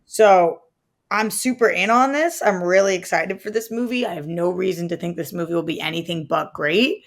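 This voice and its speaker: A woman with a lowish voice and clear vocal fry talks casually as a podcast co-host. She is informal and halting, feeling around for her words. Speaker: podcast co-host reviewing movie trailers. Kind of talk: casual movie chat.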